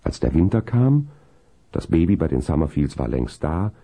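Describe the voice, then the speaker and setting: A man narrating a seventies German radio play as a calm, kindly storyteller in measured, scripted prose. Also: very deep voice, heavily acted. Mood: measured storytelling.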